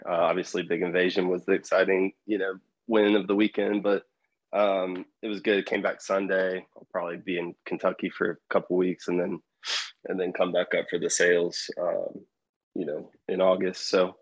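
A man answering interview questions in a relaxed, hesitant way, full of fillers and restarts. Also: low voice, brisk, gravelly, dry recording, rough recording. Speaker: podcast guest.

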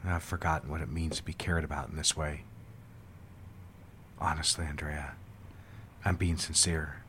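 An actor playing an earnest, formal businessman in an audio drama, a man with a husky voice. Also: rumbling low, little pitch movement, moderate pace, fairly bright tone, slight background noise. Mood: earnest, pleading. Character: businessman courting his secretary, earnest, turning curt when rebuffed. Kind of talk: dramatic audio fiction phone dialogue.

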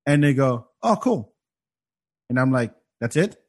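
A man who grew up in France, hosting a casual podcast and bantering with animated indignation. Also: medium pitch, a little slow. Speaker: podcast host.

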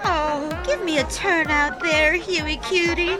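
Woman playing bubbly flirty flapper, high swoopy voice, loud band n party crowd behind. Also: fairly even intonation, takes it slowly, over music. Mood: flirty, coaxing. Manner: teasing, bubbly. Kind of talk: audio drama period dialogue. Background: speakeasy band and crowd.

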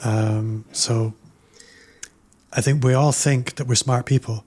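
Measured man hosting a podcast. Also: medium pitch, fairly even intonation, a little mellow. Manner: reflective, measured, builds points with repetition. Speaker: podcast host. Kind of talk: casual podcast interview.

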